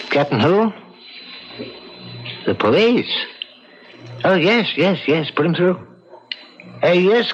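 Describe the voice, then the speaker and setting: An actor playing a concerned, measured doctor in an old-time radio drama. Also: male voice, a little high, slightly rough, highs cut off, full character voice.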